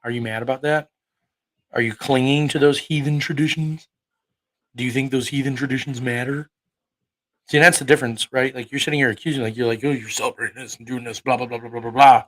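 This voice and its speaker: A man's voice: medium pitch, steady tone, brisk, poor audio. Thirties male livestream preacher, mid-range voice, combative and profane, fires rhetorical questions. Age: 30s. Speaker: online preacher. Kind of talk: livestream talk answering chat.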